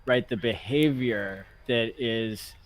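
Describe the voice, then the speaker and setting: Male podcast host, mid-pitched, measured delivery, light ambient noise behind. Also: poor audio. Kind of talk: casual discussion. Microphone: studio microphone.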